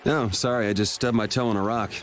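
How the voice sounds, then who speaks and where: A man's voice: on the low side, steady tone, fast talker, soft background music, natural voice. Man playing a cool, wry young ex-knight. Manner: laid-back, dry, teasing. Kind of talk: English video game voice acting.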